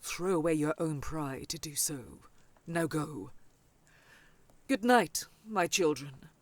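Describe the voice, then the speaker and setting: A woman's voice: deep voice, expressive, slow pace, no echo, full character voice. A woman playing a caring, authoritative older scholarly aunt in a fantasy audio roleplay, with solemn, formal delivery. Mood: tender, earnest. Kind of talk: audio roleplay drama acting.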